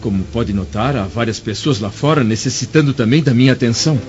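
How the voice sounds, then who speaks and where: An actor playing a proud, curt Roman senator in a Brazilian radio drama, stern and formal, with a Brazilian Portuguese accent. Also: male voice, mid-range voice, fairly quick, a little mellow, lightly acted. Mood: curt, impatient. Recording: dull lo-fi radio drama with music.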